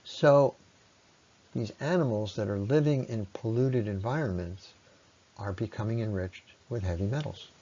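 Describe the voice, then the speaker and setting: A man's voice: lowish voice, normal speed, warm tone. Man lecturing on a dull, lo-fi recording. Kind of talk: explanatory slide presentation.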